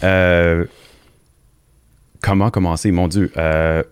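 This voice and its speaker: A man from Montreal with a Québécois French accent, a guest in an interview, speaking in an agreeable, halting way with many repeated words. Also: low voice, flat delivery, smooth, no room sound, clean studio sound. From Montreal, Canada. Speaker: mortgage executive guest. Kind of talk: casual interview chat.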